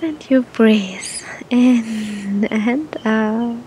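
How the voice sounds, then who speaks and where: A woman guiding a meditation in a gentle, soothing manner, speaking to the listener with long, unhurried pauses. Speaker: meditation guide. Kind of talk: guided meditation, spoken softly to the listener. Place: outdoors on a beach. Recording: outdoor recording with low rumbling noise.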